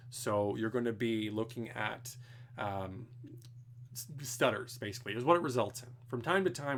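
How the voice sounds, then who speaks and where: Guy, middle pitch, casual rambling, swears a bit, faint hiss behind. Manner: rambling, technical, swears casually, restarts often. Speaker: streamer answering viewer questions. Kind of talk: casual live Q&A. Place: home, indoors.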